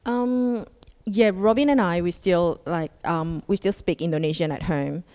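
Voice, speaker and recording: A woman's voice: on the low side, unhurried, warm tone, clean tone, big-room sound. A woman, a podcast guest, who chats casually and fills her talk with "like" and "um". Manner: chatty, with frequent "like" and "um". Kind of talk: casual interview answers.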